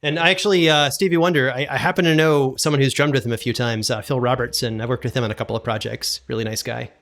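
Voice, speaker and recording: Man chatting with halting, repeated words. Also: medium pitch, fairly even intonation, fairly bright tone. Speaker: podcast guest, audio drama producer and sound designer. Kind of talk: casual interview chat.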